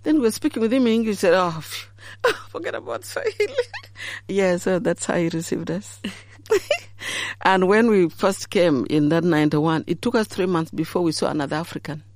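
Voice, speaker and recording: Middle-aged Ugandan woman interviewee, lively storyteller who laughs through her anecdotes; dry, close sound. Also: on the low side, normal speed, no room sound. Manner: warm storyteller, laughs often, acts out quoted voices.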